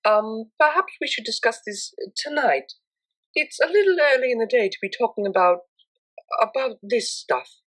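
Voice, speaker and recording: A woman puts on a proper young mare cellist from a pony story, pitched up from plain narration. She is reserved and polite, choosing formal words with prim care. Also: expressive, moderate pace, fairly bright tone, rough recording. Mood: shy, tentative.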